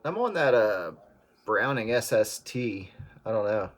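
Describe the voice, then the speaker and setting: Chill guy on the radio, lower voice, lots of uhs, easygoing. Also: measured pace. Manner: relaxed, hesitant, many fillers. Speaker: radio caller. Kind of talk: casual radio chat.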